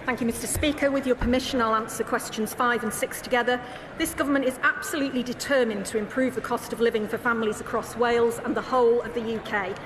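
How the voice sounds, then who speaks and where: A woman's voice: a little high, steady tone, quick pace, noisy background. A woman serving as secretary of state, confidently listing figures in a formal parliamentary answer in a debating chamber. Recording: echoey chamber with murmuring crowd.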